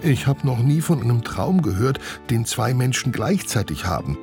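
A man's voice: medium pitch, big pitch swings, normal speed, music in the background, pitched above the performer's own voice. A man voicing a schoolgirl changed into a chimpanzee, asking surprised, sensible questions. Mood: puzzled, thoughtful. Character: schoolgirl turned into a chimpanzee, startled and practical.

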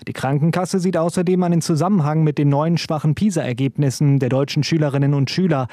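A male correspondent reading a news report in a steady, scripted way. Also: a little high, fast, dry recording, clean studio sound. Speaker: radio correspondent.

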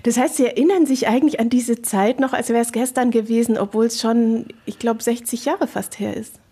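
Higher-voiced woman radio host. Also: fairly even intonation, brisk, mellow, husky. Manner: guiding, asks leading questions. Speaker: radio talk show host. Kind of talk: radio interview.

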